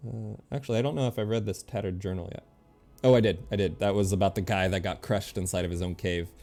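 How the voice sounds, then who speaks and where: A low-voiced man with a lot of fry and a mellow tone, streaming a game. He thinks aloud casually and easily, with faint game music behind him. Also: fairly even intonation, quick pace. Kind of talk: casual play-by-play chat, reading game text aloud.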